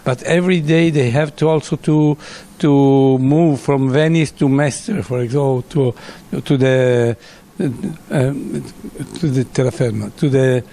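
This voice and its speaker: A man, an English speaker, answering interview questions in halting English with many pauses and fillers. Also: mid-range voice, fairly even intonation, dark tone.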